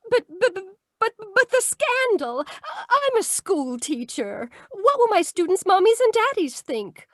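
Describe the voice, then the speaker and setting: A woman's voice: very high-pitched, sing-song, unhurried, a bit bright, no room sound, studio quality, full character voice. A woman playing a ditzy, fretful, prim schoolteacher in a 1940s-style radio mystery. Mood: nervous, flustered. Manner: flighty, proper. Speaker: actor in a radio play. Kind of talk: 1940s detective radio acting.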